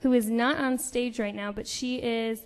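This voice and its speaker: A woman's voice: on the high side, fairly even intonation, normal speed. A young woman, a musician guest, chatting casually on stage with a wry, teasing manner. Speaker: band violinist and songwriter, guest. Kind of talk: casual onstage interview chat. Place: on stage in a hall. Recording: reverberant live stage hall.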